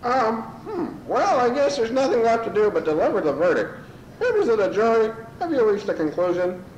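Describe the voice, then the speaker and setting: A man's voice: sits high, very animated, moderate pace, darkish tone, dull audio. A man playing a cranky, scatterbrained judge with theatrical delivery in an amateur radio comedy. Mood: weary. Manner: theatrical, wandering off mid-ruling. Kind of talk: amateur old-time radio comedy acting. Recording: echoey room, faint noisy amateur tape.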